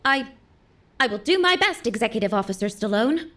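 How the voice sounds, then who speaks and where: A nervous young cadet girl in a game, higher voice, formal and eager to do it right. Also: expressive, brisk, pushed and bright. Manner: formal, dutiful, hesitant. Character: earnest, nervous young female rookie cadet adjutant. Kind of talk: video game dramatic acting.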